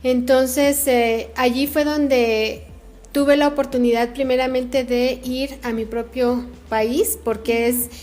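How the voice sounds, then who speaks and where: A middle-aged woman from Guatemala with a Guatemalan Spanish accent, answering interview questions earnestly about her missionary work, often pausing on "este" and "eh". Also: high voice, steady tone, darkish tone. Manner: earnest, frequent fillers like "este" and "eh". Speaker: missionary guest.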